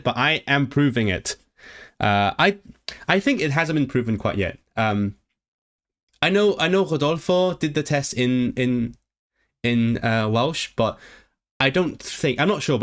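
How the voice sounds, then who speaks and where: A man chatting live with his viewers, reading quiz questions aloud, translating them and often restarting his sentences. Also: mid-range voice. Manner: chatty and animated, reacting to the chat. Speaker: livestreamer. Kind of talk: casual livestream chat with viewers.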